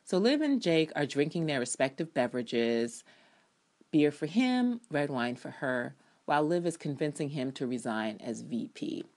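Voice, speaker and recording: Theatrical low-voiced woman reading her review. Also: expressive, moderate pace, darkish tone. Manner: theatrical, emphatic, opinionated. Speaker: reviewer reading own TV drama essay. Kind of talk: dramatic reading of a written review with ad-libs.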